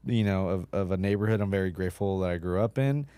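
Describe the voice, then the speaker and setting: Man, 30s, casual podcast chat, fillers, smooth resonant voice, dry close sound. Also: fast talker, warm tone, no echo. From United States, Mexican immigrant mother. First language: Spanish. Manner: casual, lots of likes and you-knows, acts out quotes in a higher voice. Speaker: podcast guest, CEO.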